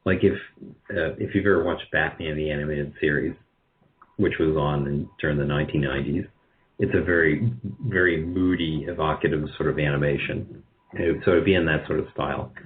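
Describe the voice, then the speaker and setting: Male radio guest, middle-aged, deep register, hesitant and self-effacing, conversational, muffled low-fidelity sound. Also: steady tone, a little fast. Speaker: guest, radio drama producer. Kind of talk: conversational interview answers.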